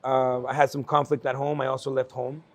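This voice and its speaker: A man in his forties from El Salvador, a guest speaking earnestly at an investment conference about his life, with frequent fillers and quick laughs. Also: medium pitch, little pitch movement. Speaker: guest entrepreneur. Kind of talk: candid conversational storytelling.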